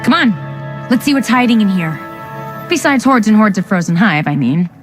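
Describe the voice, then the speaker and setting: Actress as plucky female scientist, chatty, wry, mid-range pitch, game cutscene, background score. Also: sing-song, normal speed, close to an everyday voice. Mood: upbeat, teasing. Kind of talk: video game cutscene acting. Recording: music behind the voice.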